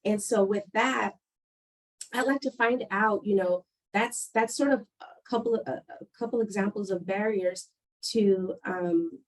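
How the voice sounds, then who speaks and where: A woman leads a panel discussion, explaining her points in a measured, thoughtful way. She pauses often with fillers as she builds her argument. Her voice sits at an ordinary middle pitch. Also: poor audio. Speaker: panel moderator.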